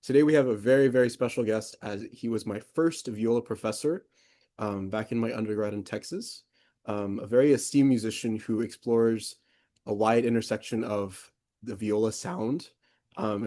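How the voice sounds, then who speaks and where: A man's voice: on the low side, steady tone, normal speed, dark tone. A man speaking as host of a conversation show, introducing in an enthusiastic, conversational way. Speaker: podcast host.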